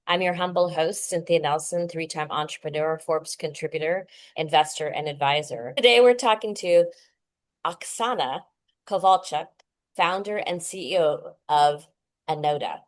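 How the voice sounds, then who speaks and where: A woman's voice: low-pitched, moderate pace. A woman hosting an interview podcast, opening the show and asking questions in an upbeat, casual way with lots of fillers. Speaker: podcast host.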